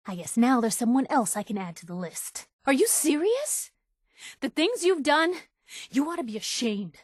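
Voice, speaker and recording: A woman's voice: fairly high pitch, no room sound, studio quality, close to an everyday voice. An actress playing a plucky, sassy young woman reporter in a video game, with a wry, teasing, conversational way of talking. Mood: indignant, hurt. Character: plucky young woman reporter, sassy, warm-hearted, in a post-apocalyptic wasteland. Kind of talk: video game voice acting, conversational dialogue lines.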